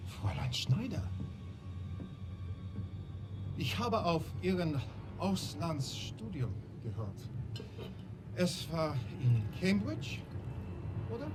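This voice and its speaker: A man's voice: fairly high pitch, big pitch swings, slow. An actor playing a menacing, genteel Nazi German commander with a German accent and a mannered, mock-courteous way of savoring his words. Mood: suspicious, coolly polite. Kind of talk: cinematic war game acting. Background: music.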